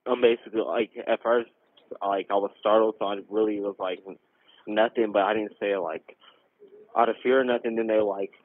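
A young man speaks on a thin, narrowband phone line. His voice sits fairly low and stays very even in pitch. He gives a halting, informal account as a caller to emergency services. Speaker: caller reporting a robbery. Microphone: telephone.

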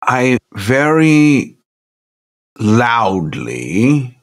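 A man's voice: mid-range voice, big pitch swings, very slow. A man chatting casually as a player in a tabletop game.

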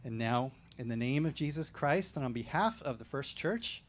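Gentle middle-aged pastor at an outdoor service. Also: male voice, medium pitch, animated, a little hiss or hum. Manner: measured, gentle liturgical delivery. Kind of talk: leading outdoor worship and prayer. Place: outdoors at a church entrance. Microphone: stand mic at a lectern.

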